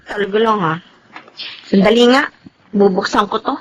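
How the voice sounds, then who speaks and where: An actress playing a cheeky, blunt young daughter in a Tagalog radio drama. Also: female voice, medium pitch, normal speed, a little hiss or hum, close to an everyday voice, low-quality recording. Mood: dismissive, blunt.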